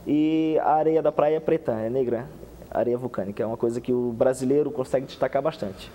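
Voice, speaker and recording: Fast-talking young man in a TV studio. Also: medium pitch, big-room sound. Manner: fast, animated explaining with many restarts and hand gestures. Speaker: guest researcher. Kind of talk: TV studio interview. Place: TV studio set. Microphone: lapel.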